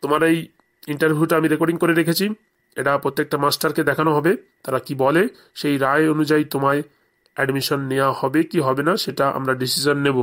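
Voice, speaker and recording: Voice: mid-range voice, little pitch movement, fast talker, a bit bright, lightly acted. A performer voicing a stern, sarcastic male headmaster in a comedy cartoon. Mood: formal, dismissive. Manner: sarcastic, scolding interrogator.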